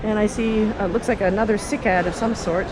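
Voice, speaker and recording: A woman hosts a casual walking tour, talking off the cuff into a handheld camera. Her voice sits at a medium pitch, and she rambles chattily with wry little asides to herself. Loud city ambience and traffic noise run heavily behind her. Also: fairly even intonation, brisk. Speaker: host and tour guide of a city walk video. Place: out and about at a London skyscraper and its streets. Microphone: handheld camera on a stick.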